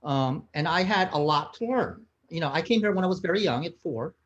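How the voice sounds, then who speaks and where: A man's voice: a little high, expressive, brisk, fairly bright tone, muffled recording. A man from Hawaii moderating a panel, asking friendly, rambling questions.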